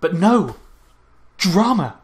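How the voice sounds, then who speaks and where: A man's voice: high voice, big pitch swings, slow. A man co-hosting a podcast in casual, mocking chat, swearing freely and recapping with sarcastic mock drama. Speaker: podcast co-host.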